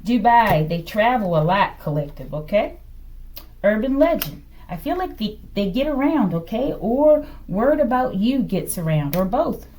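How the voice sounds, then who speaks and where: A woman hosts an off-the-cuff tarot reading. Her medium-pitched voice moves up and down a lot. She talks in broken, musing fragments, partly to herself, and often closes a thought with a brief questioning check-in. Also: expressive, a little slow, darkish tone, slight background noise. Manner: fragmented delivery, murmuring to self, often ending phrases with a questioning "okay?". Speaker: tarot reader, host. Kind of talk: improvised tarot reading to camera.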